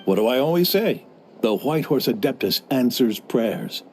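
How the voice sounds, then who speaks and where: A man's voice: medium pitch, expressive, moderate pace, slight background noise, natural voice. An actor playing a pious, boastful old innkeeper in a video game, telling his story with animation. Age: older.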